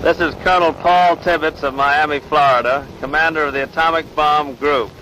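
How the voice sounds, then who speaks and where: A man's voice: a little high, fairly even intonation, moderate pace, sharp tone. An American man presenting and interviewing in a formal 1940s newsreel style. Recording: noisy 1940s outdoor newsreel recording.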